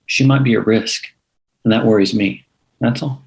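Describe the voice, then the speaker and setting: A man playing an earnest, meddling skeptic at a screenplay table read, with measured, pointed delivery. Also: lowish voice, fairly even intonation, close to an everyday voice, rough recording. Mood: disapproving. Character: earnest, meddling man casting doubt on someone.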